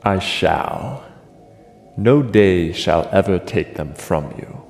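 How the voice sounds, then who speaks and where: Man playing a deep, swooping, gloating tyrant king. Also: animated, gravelly, a little mellow, heavily acted. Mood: falsely gracious. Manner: measured, theatrical, mock-courteous. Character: vengeful gloating king, falsely gracious to his brother. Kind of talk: classical tragedy stage acting. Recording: long hall reverb, music underneath.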